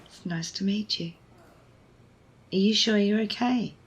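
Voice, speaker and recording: A woman's voice: medium pitch, measured pace, close to an everyday voice. A woman playing a wry, evasive young rescuer in an intimate ASMR audio roleplay, with a sardonic, hesitant manner. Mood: awkward, reassuring. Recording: noisy ambience behind close voice.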